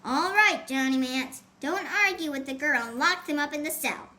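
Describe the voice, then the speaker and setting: A woman's voice: sits high, moderate pace, bright, forceful tone. A woman voicing greedy, bullying male pirates in a storybook, sneering and scolding. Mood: commanding.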